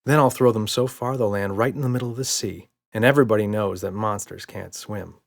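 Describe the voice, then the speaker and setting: A man's voice: lowish voice, brisk, gravelly. A man voicing a playful, reassuring father in a children's audiobook. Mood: boastful.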